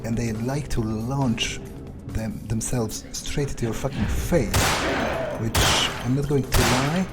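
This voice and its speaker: A man's voice: mid-range voice, slow pace, natural voice. A man roleplaying an irritable, foul-mouthed male vampire in a video game, musing aloud in a hesitant way. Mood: irritated. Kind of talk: in-character roleplay commentary over gameplay. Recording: music behind the voice. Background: game music.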